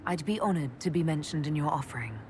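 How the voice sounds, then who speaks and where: A woman playing a composed, diplomatic leader in a video game, measured and inquiring. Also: deep voice, animated, big-room sound, background noise. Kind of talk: video game dialogue acting.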